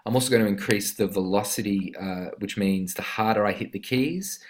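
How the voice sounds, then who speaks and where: A man giving a casual, step-by-step music software tutorial in an explanatory way. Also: on the low side, a little fast, slight background noise. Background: musical instrument notes from demonstrations.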